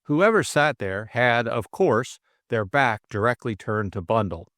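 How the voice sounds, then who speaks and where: Low-voiced man narrating a mystery. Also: animated, measured pace, fairly bright tone, no room sound, clean studio sound. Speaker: audiobook narrator. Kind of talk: scripted dramatic narration of a classic mystery.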